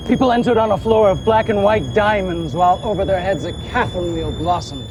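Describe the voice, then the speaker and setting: An actor on stage playing a smooth, condescending Victorian doctor and swindling showman, pompous and theatrical. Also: sits high, noisy background. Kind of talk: period stage play acting. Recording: live theatre stage recording with music.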